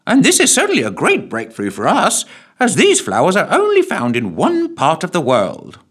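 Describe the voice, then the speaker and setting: A man's voice: sits high, huge pitch swings, moderate pace, a bit bright, breathy edge, voice pushed up, full character voice. A man voicing a pompous, easily flustered male defence secretary in a storybook reading. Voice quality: blustering, flustered.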